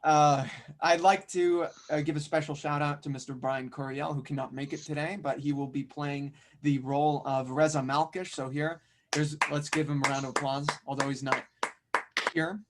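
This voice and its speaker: A man's voice: a little high, steady tone, normal speed, very noisy. A man acting as host and narrator, introducing and reading stage directions at a script table read.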